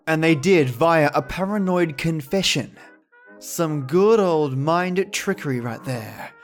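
Male storytelling host, lightly high-pitched, informal sign-off delivery over soft background music. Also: expressive, slow. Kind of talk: casual outro chat to listeners. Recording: music behind the voice.

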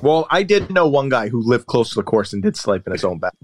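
A man's voice: medium pitch, sing-song, fast, no room sound. A man chatting casually on a podcast, telling long, rambling, self-deprecating stories into a close mic. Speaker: golfer guest and regular of the podcast.

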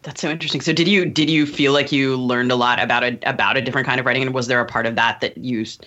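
A woman's voice: rumbling low, steady tone, racing, a bit bright, lo-fi sound. A woman hosting a podcast, asking a guest casual, conversational interview questions.

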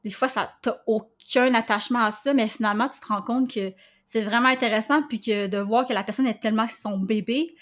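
A woman with a Québécois French accent, chatting as a podcast guest, animated and full of fillers. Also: on the high side, brisk. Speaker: guest copywriter. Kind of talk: casual podcast conversation.

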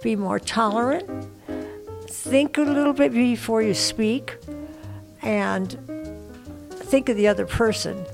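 Slow, gravelly older woman. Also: fairly high pitch, music in the background. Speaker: interviewee.

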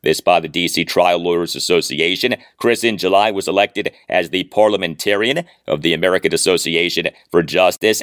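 A man hosting a sports podcast, speaking in an emphatic, deliberate way with stressed key phrases. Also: sits low, fairly bright tone, no echo, studio quality. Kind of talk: scripted ad read and interview questions.

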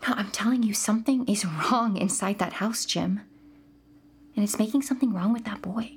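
A woman playing an anxious, weary suburban mother in a naturalistic audio drama, talking in a conversational, often hushed way with an exasperated edge. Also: medium pitch, moderate pace, lightly acted. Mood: uneasy, exasperated.

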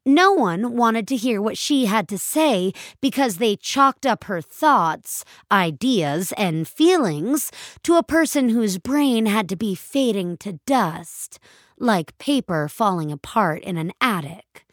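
A woman's voice: mid-range voice, lively intonation, slow pace, no room sound. A woman reading scripted dramatic fiction as a narrator, recorded close on a studio mic.

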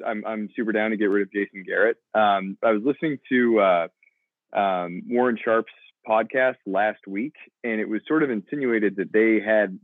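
Low-voiced man on a tinny line. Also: no echo. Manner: halting, many ums and restarts. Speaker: podcast co-host. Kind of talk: casual sports chat.

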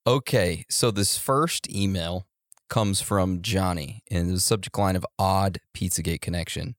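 A man's voice: deep voice, a little slow, dry recording, studio quality. A young man hosting a casual podcast, rambling and laughing easily as he answers listener messages. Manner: warm, rambling, laughs often. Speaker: podcast host.

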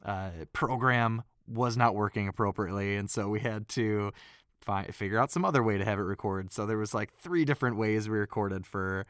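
A man, a voice actor, telling a story in casual chat, halting and rambling with frequent laughs. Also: fairly low pitch, a bit bright, no room sound, studio quality.

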